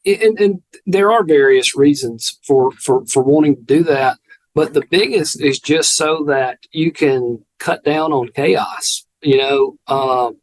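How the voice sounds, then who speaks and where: A man with a Southern American accent, an attorney guest explaining legal steps haltingly, repeating words. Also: a little high, low-quality recording. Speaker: family law attorney, guest. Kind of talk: explaining legal procedure in conversation.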